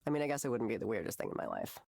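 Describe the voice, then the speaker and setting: A woman's voice: very deep voice, wildly swooping pitch, racing, gravelly, a little mellow, no echo, studio quality, heavily acted. An actress playing an anxious, wry young woman who rambles hesitantly through a conversation in a video game. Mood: awkward, joking. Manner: hesitant, rambling, self-deprecating. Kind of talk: naturalistic conversational game voice acting.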